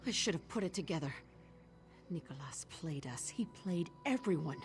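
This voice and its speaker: A woman's voice: low-pitched, animated, normal speed, noisy background. A woman playing a shrewd, determined thief leading her crew in a video game. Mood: bitter, frustrated. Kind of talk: video game character acting. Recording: music behind the voice.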